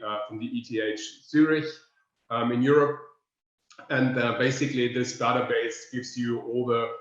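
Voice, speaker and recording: German man presenting in a roomy room. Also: mid-range voice. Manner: thoughtful, pausing with frequent fillers. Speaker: research presenter. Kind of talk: webinar presentation. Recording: roomy, muffled lo-fi sound.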